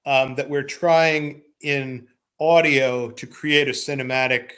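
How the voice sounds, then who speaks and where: A man with an average-pitched voice in casual podcast conversation. He speaks reflectively and haltingly, often repeating words as he thinks. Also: fairly even intonation, fairly bright tone, clean tone. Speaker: podcast host, interviewer. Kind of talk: casual chat.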